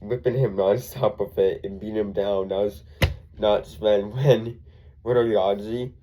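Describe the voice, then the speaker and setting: A man's voice: fairly low pitch, fairly even intonation, a little slow, background noise. A young man with a congested voice, rambling through a casual recap full of ums and self-corrections. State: congested from a cold. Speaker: wrestling show reviewer.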